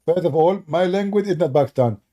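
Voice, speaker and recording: A man's voice: a little high, a little fast, mellow, muffled recording, voice pushed up, close to an everyday voice. A man putting on a mocking voice to play a viewer from his live chat who objects indignantly.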